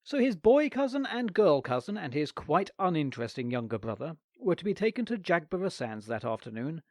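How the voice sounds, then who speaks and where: Expressive man reading a story aloud. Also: a little high, dry recording, clean studio sound. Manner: wry, expressive.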